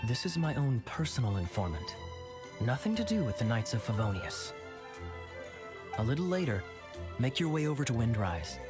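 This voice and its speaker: An actor playing a suave, sly, teasing young knight in a video game, wry and theatrical. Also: male voice, mid-range voice, sing-song, moderate pace, pauses often, music in the background, natural voice. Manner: smooth-talking, wry, theatrical.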